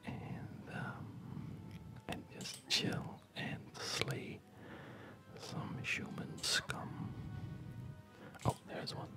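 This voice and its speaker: A man doing relaxed, chatty ASMR game commentary in a soft, breathy whisper. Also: very slow. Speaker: host of an ASMR gaming channel. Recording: background game music. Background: loud game music.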